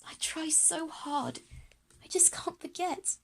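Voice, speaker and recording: A woman voicing a timid, grateful girl with a troubled past in an audio drama, soft and earnest. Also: very high-pitched, slow pace, vocal fry, voice pushed up, full character voice. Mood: frightened.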